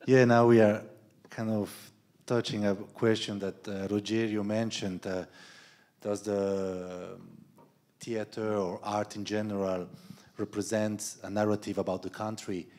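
A man's voice: fairly low pitch, level tone, unhurried, a little mellow. A man in his fifties moderating a panel discussion, reflective, posing broad questions into a handheld mic. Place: event stage with panel tables, indoors.

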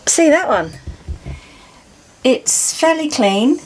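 A woman's voice: high-pitched, very animated, takes it slowly, slight background noise, low-quality recording. Easygoing woman chatting in a boat cabin. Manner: easygoing, laughs often, practical. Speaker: sailing vlogger. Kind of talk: casual chat. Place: inside a sailboat cabin.

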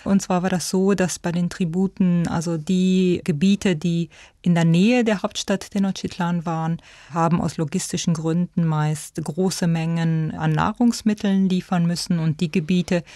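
A woman's voice: on the low side, fairly even intonation, moderate pace, dark tone, no echo, studio quality. A woman speaking as an expert, explaining things in unscripted interview style. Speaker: expert commentator.

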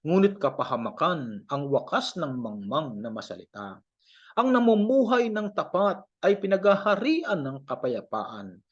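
A Filipino man gives a measured scripture reading in a declamatory manner. His voice sits a little high for a man and rises and falls widely across each verse. The recording is dull and lo-fi. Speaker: Bible reader.

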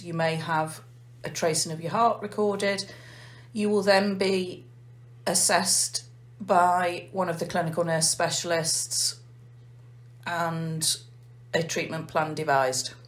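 An English woman, a nurse manager, calmly explaining a heart failure clinic in an interview. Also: fairly low pitch. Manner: measured, methodical explaining.

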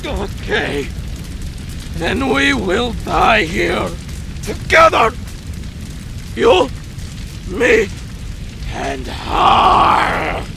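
Game mod villain: furious monstrous ex, high strained gravelly shouting, menacing, over music. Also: male voice, sing-song, exaggerated voice. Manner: seething, menacing, halting. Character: vengeful, furious horned monstrous ex-boyfriend villain, coughing amid smoke. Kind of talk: video game mod voice acting.

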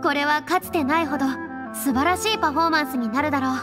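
A woman's voice: high-pitched, fast talker, in a large hall, loud music behind the voice, lightly acted. A woman voicing a proud, theatrical young woman with self-assured phrasing in an anime game. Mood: confident. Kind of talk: Japanese anime game voice acting.

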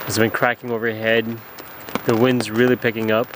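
A man's voice: fairly low pitch, little pitch movement, normal speed. Man vlogging from a tent in a storm. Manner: rambling, restarts sentences as he recalls events. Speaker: canoe trip vlogger. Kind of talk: casual narration to camera. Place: inside a small tent at night during a storm. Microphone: camera held close in the tent. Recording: outdoor storm noise. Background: wind, rain and pine needles on the tent.

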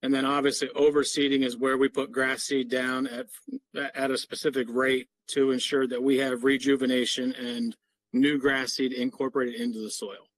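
A middle-aged man presents to a meeting over a video call in an explanatory, businesslike manner. His voice sits at an average male pitch. The sound is dry, with very little room around it. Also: little pitch movement, moderate pace, dry recording. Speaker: parks maintenance official presenting. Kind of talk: slide presentation to a board meeting.

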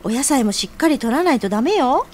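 A woman's voice: high-pitched, animated, fast, darkish tone, a little hiss or hum. An actress playing a sociable, inquisitive woman who teases and fusses over her friends in an audio drama. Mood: fussing. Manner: feminine, chatty, nudging. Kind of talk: scripted audio drama acting.

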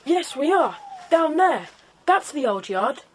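High, lively British schoolboy. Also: female voice, slow, exaggerated voice. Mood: brisk, confident. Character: keen, sensible English schoolboy leader of young adventurers. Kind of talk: children's audio drama acting. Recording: faint noisy 1990s cassette audio drama.

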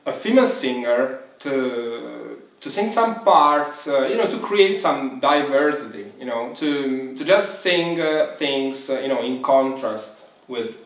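A man in his thirties with an Italian accent, telling the story of his band in a relaxed, animated sit-down interview. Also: medium pitch. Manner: animated storytelling, quick bursts. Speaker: band founder and guitarist. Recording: echoey room, mic set far.

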